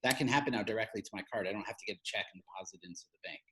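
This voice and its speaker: A man's voice: fairly low pitch, racing, bright, forceful tone, slight background noise. A man speaking as an interview guest, explaining his field in an informal, conversational way. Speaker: interview guest, fintech media founder.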